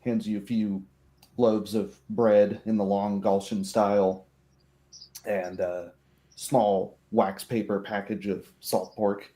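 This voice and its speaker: A man's voice: on the low side, little pitch movement, slow pace, warm tone. A man narrating a tabletop roleplay as game master in a casual, conversational way.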